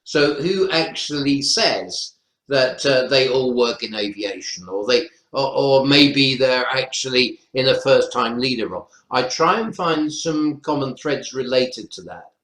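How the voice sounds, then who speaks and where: A man's voice: medium pitch, lively intonation, unhurried, fairly bright tone. A man with a North Welsh accent, explaining his teaching practice as a lecturer on an online webinar panel. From North Wales. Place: indoor room, online webinar. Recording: roomy echo on webinar audio.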